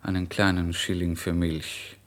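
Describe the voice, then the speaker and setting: A man puts on an old, worn-out male beggar for a radio play. He speaks in a fairly low voice, in short pleading phrases, as a hungry tradesman out of work. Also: little pitch movement, a little slow. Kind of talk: literary radio drama dialogue.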